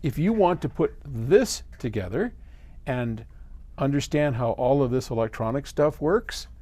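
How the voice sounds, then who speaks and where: An older American man answering a viewer's question in a casual, folksy, conversational way with expressive emphasis. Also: medium pitch, measured pace, dry recording. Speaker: host, audio company head answering viewer questions.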